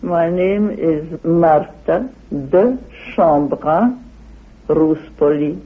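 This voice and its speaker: A woman's voice: low voice, very slow, slightly rough. A woman researcher speaking off the cuff in an interview. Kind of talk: unscripted interview talk. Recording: tinny muffled old interview tape.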